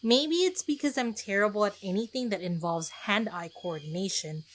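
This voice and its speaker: A woman's voice: mid-range voice, moderate pace. A woman podcast host narrating a casual scripted solo episode in a chatty, self-deprecating way with conversational asides.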